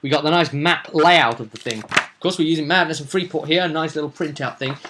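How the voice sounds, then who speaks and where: A man's voice: fairly high pitch, a little fast, pushed and bright, a little hiss or hum. A man talking as a game master in a casual storytelling vlog, with theatrical emphasis and rambling asides. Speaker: game master recapping a tabletop roleplaying campaign.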